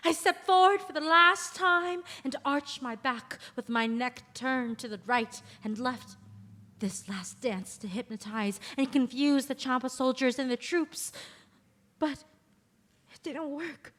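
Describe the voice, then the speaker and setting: A woman's voice: sits high, a little slow, fairly bright tone. A woman playing a curious young woman, a classical dancer reborn, in a table read of a stage play. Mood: dramatic.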